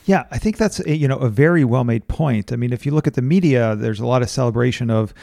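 A man hosting an interview podcast, asking measured, thoughtful questions with a few fillers. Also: mid-range voice, vocal fry, darkish tone. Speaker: podcast host.

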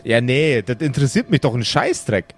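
A man's voice: medium pitch, sing-song, fairly quick, soft background music, voice pushed down. A man playing a chatty, irritable necromancer in improvised tabletop role-play, rambling and repeating himself in crude slang. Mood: boastful, rambling. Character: chatty, brash, irritable necromancer running a business.

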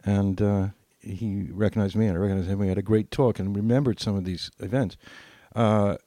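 A man's voice: fairly low pitch, fairly even intonation, a little fast, warm tone, no room sound. An older man telling anecdotes casually as a podcast co-host, acting out bits of dialogue as he reminisces.

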